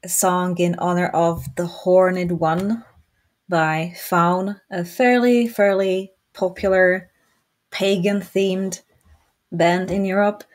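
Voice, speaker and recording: A woman with a Czech accent hosting an interview over a video call, chatting in a relaxed, joking way. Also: fairly low pitch. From Czech Republic. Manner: relaxed, joking, with quick restarts. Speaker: podcast host. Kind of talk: casual interview chat.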